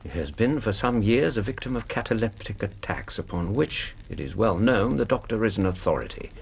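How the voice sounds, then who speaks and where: An actor in a radio drama playing a shrewd, self-assured Victorian detective, husky, formal and incisive. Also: male voice, on the low side, lively intonation, fairly quick, a little hiss or hum. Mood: brisk, formal.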